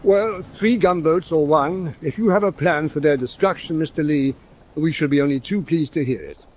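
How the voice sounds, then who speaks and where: A man's voice: fairly high pitch, normal speed, darkish tone, slight background noise, lightly acted. A man playing a courteous, dithering senior British admiral in a radio drama, genteel and hesitant. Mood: doubtful. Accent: British English.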